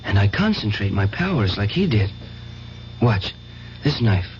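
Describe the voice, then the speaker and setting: Earnest hesitant young man, old radio play, dull noisy sound, lively pitch. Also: unhurried. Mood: earnest, intent. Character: earnest, devout young man Joseph with uncanny powers. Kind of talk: old-time radio drama acting.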